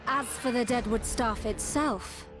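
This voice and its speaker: A woman playing a grave, poised undead necromancer noblewoman in a fantasy game, speaking in measured, formal phrases. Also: a little high, music in the background, close to an everyday voice. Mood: solemn. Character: solemn, poised undead necromancer noblewoman telling her people's history. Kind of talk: scripted fantasy game narration and dialogue.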